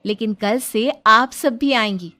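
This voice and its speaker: A woman's voice: on the high side, measured pace, bright, forceful tone, slight background noise. A woman voicing a principled young daughter-in-law and village teacher in a cartoon story, earnest and persuasive. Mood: earnest, encouraging. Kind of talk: cartoon moral story dialogue.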